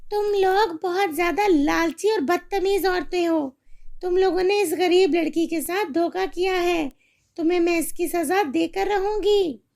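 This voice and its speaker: A woman's voice: very high voice, measured pace, gravelly, a little mellow, exaggerated voice. A woman voicing a righteous, protective magic fairy in a cartoon fairy tale, firm and declarative. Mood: indignant.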